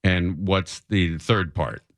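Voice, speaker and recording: A man's voice: low voice, steady tone, slow, no room sound, clean studio sound. A man hosting a radio talk show, giving off-the-cuff, opinionated commentary in a conversational way and repeating phrases for emphasis. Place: radio studio.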